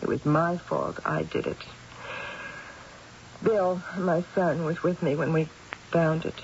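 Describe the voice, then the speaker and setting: An actress playing an anguished, sleepless middle-aged wife with a wry bitter edge, in a dramatic, halting old-time radio style. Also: female voice, sits low, darkish tone. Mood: weary, guilty. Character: anguished, insomniac wife and mother, wryly bitter. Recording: muffled, noisy old radio recording.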